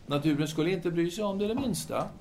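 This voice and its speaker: Man playing a musing philosopher, higher-pitched voice. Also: noisy background. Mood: brooding. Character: brooding, talkative male philosopher in 1930s Paris. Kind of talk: audio drama acting.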